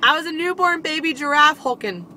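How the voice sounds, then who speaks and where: A woman's voice: high-pitched, animated, fairly quick, bright, forceful tone. A young woman playfully improvising a bedtime story in a silly, giggly way, recorded inside a car. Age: young adult. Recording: noisy car interior, vehicle ambience.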